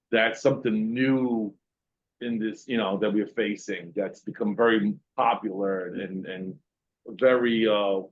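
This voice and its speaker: A man asking questions in an online meeting, with a loud voice and many fillers and pauses. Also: on the low side, narrow, tinny audio. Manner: loud voice, talks with hesitations and fillers. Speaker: workshop participant.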